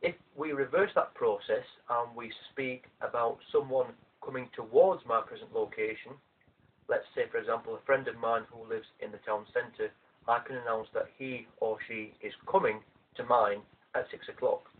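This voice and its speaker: A man with a South Yorkshire accent talks through a language lesson, slow and methodical. His voice is a little husky. It sounds tinny, as if through a phone line, and he is some way from the phone's mic. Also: mid-range voice, steady tone. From South Yorkshire, England. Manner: measured, methodical explaining. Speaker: language teacher. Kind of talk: explanatory video lesson. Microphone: mobile phone camera. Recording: tinny, distant phone recording.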